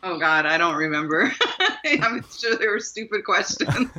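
A woman from Maryland, a guest author, telling stories in an animated way and laughing often. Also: fairly low pitch, slow, noisy background. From Maryland, USA. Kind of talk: conversational interview. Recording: muffled lo-fi sound.

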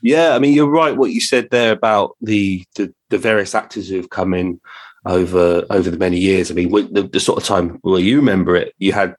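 A British man hosts a podcast interview in a casual way. His voice sits a little low as he chats and puts questions to his guest. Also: steady tone, fairly quick.

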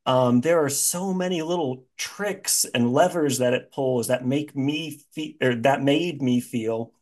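A man with a mid-pitched voice hosts a casual interview. He is informal and self-deprecating, feeling his way toward each question in a loose, rambling way. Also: unhurried, a little mellow. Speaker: podcast host.

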